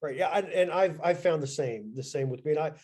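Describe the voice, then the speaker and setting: A man hosting an author interview over a video call, speaking in a halting way with many restarts. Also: fairly high pitch, a little mellow, husky. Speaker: interview host. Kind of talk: conversational interview.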